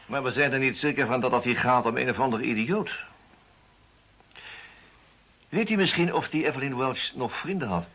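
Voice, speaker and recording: An actor playing a methodical private detective in a radio play, measured and businesslike with a wry edge. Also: male voice, medium pitch, a bit bright, breathy edge. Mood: probing. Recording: dull lo-fi old radio sound.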